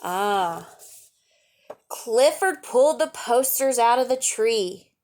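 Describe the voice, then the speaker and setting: A woman's voice: on the high side, lively intonation, slow, a little mellow. A woman reading a picture book aloud to young children, adding chatty asides, simple explanations and questions for the listeners. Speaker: storytime reader and teacher.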